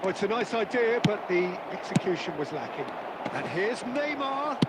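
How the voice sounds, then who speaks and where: A man voicing the match commentator of a football video game, measured and polished, raising his voice on players' names. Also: sits high, very animated. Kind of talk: scripted sports game commentary. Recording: game audio under loud crowd noise.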